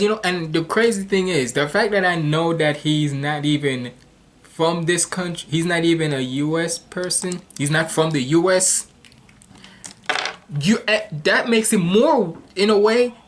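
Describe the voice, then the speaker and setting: A man's voice: high voice, fairly bright tone. A man from Jamaica hosting a reaction video, talking casually and rambling with many restarts and slang.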